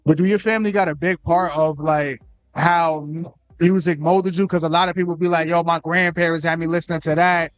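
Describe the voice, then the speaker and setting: A man's voice: a little high, fairly even intonation, fairly quick, clear, resonant tone, no room sound. A man hosting a radio interview, asking questions in a casual, joking way full of slang. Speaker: radio show host.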